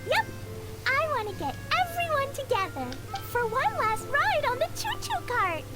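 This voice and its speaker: A woman voicing a tiny, cheeky, childlike fairy companion in a video game, with a squeaky, perky delivery. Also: big pitch swings, normal speed. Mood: cheerful, teasing. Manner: perky, speaks of herself in third person. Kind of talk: scripted video game voice acting. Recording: loud game music behind the voice.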